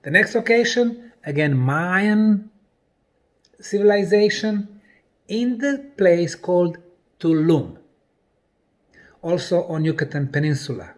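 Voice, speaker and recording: A man presenting in a lecture style, deliberately, in short separated phrases with emphasis on key words. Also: sits high, animated. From Bosnia. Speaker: presenter and researcher.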